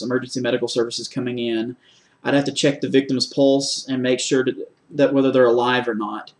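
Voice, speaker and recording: A man with a mid-pitched voice speaks as a candidate in a formal job interview. He is measured and methodical, laying out his answers step by step. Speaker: job candidate for a security officer post.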